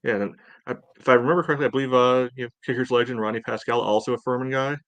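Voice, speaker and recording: A man's voice: medium pitch, steady tone, very fast, fairly bright tone, no echo. A man co-hosting a casual sports talk show, hesitant and thinking aloud as he goes. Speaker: sports podcast co-host.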